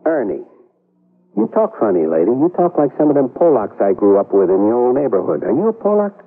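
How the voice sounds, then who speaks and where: A gruff, sarcastic working-class security guard, blunt and slangy. His voice is husky and swings widely in pitch. It comes through a narrow, tinny radio-drama sound. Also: big pitch swings, fairly quick. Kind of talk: old-time radio drama acting.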